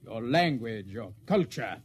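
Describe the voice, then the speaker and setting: An actor playing a cold, haughty alien agent, formal and measured in 1950s radio drama style. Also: male voice, medium pitch, very animated, a little hiss or hum. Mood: haughty, calm.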